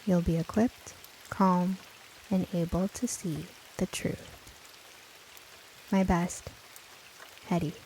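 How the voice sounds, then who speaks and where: A woman playing a curious, earnest young woman who narrates her own spooky radio drama in a measured, storytelling way. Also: lowish voice, fairly even intonation, warm tone, a little hiss or hum, low-quality recording. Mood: measured, somber. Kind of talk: first-person scripted radio drama narration.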